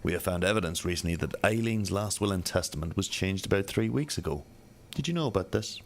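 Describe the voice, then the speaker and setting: A man acts out a police detective for an amateur radio drama. His voice sits low, and he questions in a measured, formal, matter-of-fact way. There is faint noise behind him. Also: animated. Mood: pressing.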